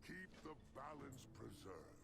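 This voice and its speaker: An actor voicing a folksy, welcoming walrus-like villager in a video game, with a gravelly voice. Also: male voice, mid-range voice, sing-song, slow, exaggerated voice. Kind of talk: video game character barks.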